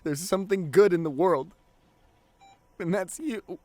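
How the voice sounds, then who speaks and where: Devoted boyfriend, grieving and tearful, played by a man; slow, broken delivery with repeated phrases, intimate audio drama pleading. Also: sits high, mellow, no echo. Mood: tender, sorrowful. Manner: halting, repeats phrases, voice breaking into sobs. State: crying. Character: grieving devoted boyfriend pleading at comatose partner's hospital bedside. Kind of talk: emotional ASMR audio roleplay.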